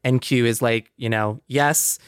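A male podcast host with a clear, smooth voice, recorded close and dry. He talks in a casual, reflective way and sounds appreciative and agreeable, at a middling pitch. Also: fairly even intonation, a little slow, no room sound.

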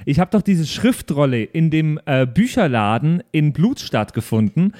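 A man improvising casually as a tabletop role-player, halting and a bit cocky as his character. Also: fairly high pitch, very animated, a little mellow, dry recording, studio quality.

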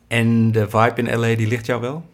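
A man's voice: medium pitch, level tone, moderate pace. A man interviewing someone casually, asking informal, direct questions.

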